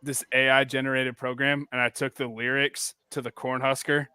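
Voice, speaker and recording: A man in his twenties with a bright, smooth voice that rings clearly. He talks in an animated, slangy way and laughs easily. The mic is close and dry. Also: medium pitch, normal speed, no room sound. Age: 20s. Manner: animated, laughs easily, says "brother" and "dude". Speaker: co-host of a sports show. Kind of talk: casual chat.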